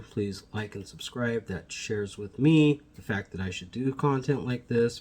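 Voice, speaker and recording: A man's voice: on the low side, a little mellow, slightly rough. A man with a slightly sniffly voice, a plant shop owner chatting casually to viewers, wandering and correcting himself as he goes. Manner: rambling, self-correcting, friendly address to viewers. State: sniffly from allergies. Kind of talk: casual how-to vlog. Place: indoors at home among plants. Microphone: phone camera mic.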